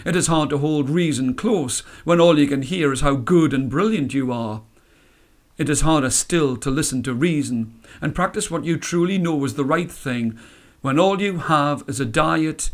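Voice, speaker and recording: Higher-voiced man telling a story. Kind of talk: expressive scripted storytelling.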